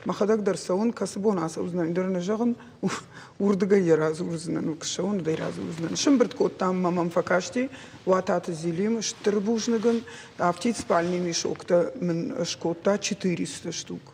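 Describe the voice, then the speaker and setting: A native Ossetian-speaking man with a husky voice, giving a halting, unscripted account. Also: high-pitched, darkish tone, slight background noise. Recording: very echoey, reverberant room.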